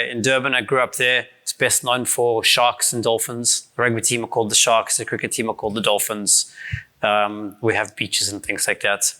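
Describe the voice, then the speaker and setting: South african guy in his 40s giving a conference talk, bright voice, lots of ums and restarts, bit hoarse. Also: fairly low pitch. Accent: South African, softened by years abroad. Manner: casual, many restarts and fillers, self-deprecating. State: voice strained from shouting the night before. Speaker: conference speaker. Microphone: handheld or stand mic.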